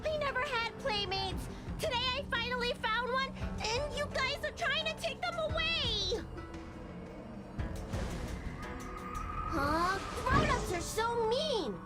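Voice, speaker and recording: A woman's voice: extremely high pitch, expressive, measured pace, hardly any pauses. A woman voicing a petulant, possessed little girl in a game cutscene, whiny and childish. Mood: upset. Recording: voice over loud music. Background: loud game score music.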